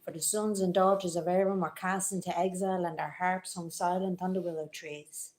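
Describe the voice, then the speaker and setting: A woman's voice: on the low side, steady tone, a little fast, darkish tone. Woman reading big mock-heroic stuff, declaiming. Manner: grand, declamatory. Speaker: reader. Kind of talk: mock-heroic dramatic narration. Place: community centre hall, live event.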